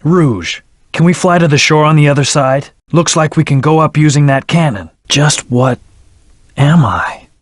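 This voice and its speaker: A man's voice: fairly high pitch, normal speed, no room sound, studio quality, close to an everyday voice. A man voicing a brooding, terse hedgehog antihero in a video game, with a serious, clipped, commanding delivery. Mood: firm, intense. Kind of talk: video game character voice lines.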